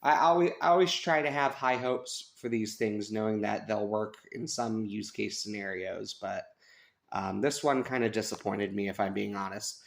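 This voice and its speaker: An American man giving a casual, unscripted review, thinking aloud with hesitant pauses. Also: on the low side. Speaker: radio reviewer. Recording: clear radio static and hiss behind. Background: shortwave radio static and stations.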